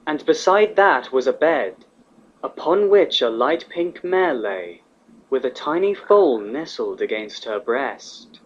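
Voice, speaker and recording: A man's voice: mid-range voice, lively intonation, takes it slowly, lo-fi sound, slight background noise. A man reading scripted fantasy fiction aloud as the narrator of a story.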